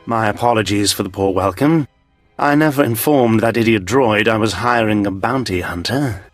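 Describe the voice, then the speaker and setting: A man's voice: lowish voice, normal speed, no room sound, faint music behind, close to an everyday voice. A man playing a haughty, short-tempered imperial officer in a video game, pompous and imperious. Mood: smooth apology. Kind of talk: video game cutscene acting.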